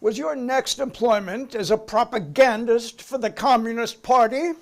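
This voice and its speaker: Insistent, very high-voiced committee counsel man acting. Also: moderate pace. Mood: pressing. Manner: courtly, official, insistent. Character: formal, pressing committee counsel interrogating a witness. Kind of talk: dramatic reading of a hearing transcript.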